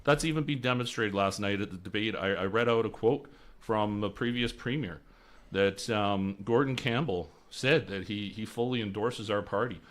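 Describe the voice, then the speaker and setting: A man's voice: lowish voice, normal speed, a bit bright. A young man from British Columbia, a candidate answering interview questions in a radio studio, earnest and restarting words as he goes. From Lower Mainland, British Columbia. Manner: earnest, repeats words while he searches. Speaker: election candidate. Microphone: studio mic on boom arm.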